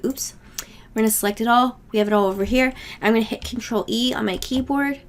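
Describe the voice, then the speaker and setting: Woman with a cold-worn voice. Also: on the high side. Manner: casual, step-by-step explaining with small fillers. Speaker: craft tutorial host. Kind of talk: screen-recorded software tutorial.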